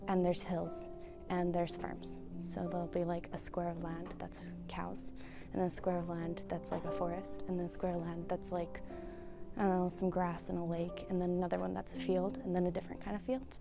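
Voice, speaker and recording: A woman's voice: on the low side, level tone, normal speed. A woman from Canada answering an interview casually with lots of fillers. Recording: music playing clearly behind.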